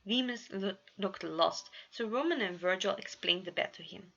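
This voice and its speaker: A woman narrating a scripted story as an amateur reader, expressive, with small stumbles. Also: medium pitch, moderate pace, vocal fry, dull audio. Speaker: amateur fan fiction reader.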